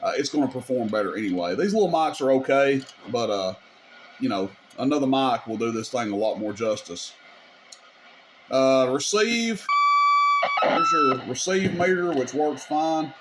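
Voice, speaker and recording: Man, mid-pitched voice, folksy and informal, casual hands-on demo, step-by-step explaining, camera mic, noticeable background noise. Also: lively intonation, moderate pace. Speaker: radio repair video host demonstrating gear. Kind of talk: casual hands-on product demonstration.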